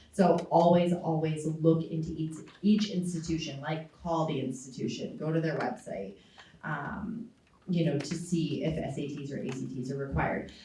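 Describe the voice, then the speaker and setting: A woman in her forties answers a panel question as a college admissions director. Her voice sits low and she explains steadily, with many ums. It rings through a roomy school auditorium, heard from a distance. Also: mellow, a little hiss or hum. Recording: echoey hall, distant mic.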